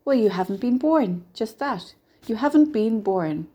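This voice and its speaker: A little stranger with a peddler's patter, voiced by a woman reading a story. He is kindly and mysterious, coaxing with patient good cheer in a soft, mellow tone. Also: medium pitch, expressive, normal speed, natural voice. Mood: delighted. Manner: patient, coaxing, brightly reassuring.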